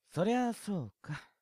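A woman playing an easygoing, teasing high school boy in an audio drama, with a light, self-deprecating, joking way of talking. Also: darkish tone, dry recording, studio quality. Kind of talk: anime-like Japanese audio drama acting.

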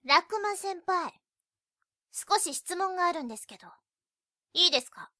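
Woman playing a high, prim, exasperated schoolgirl. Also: expressive, normal speed, pushed and bright, no room sound, studio quality, exaggerated voice. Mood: polite, pointed. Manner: polite but scolding, indignant. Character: prim, exasperated high school girl, blunt junior club member. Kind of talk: Japanese youth romantic comedy voice drama. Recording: light music behind.

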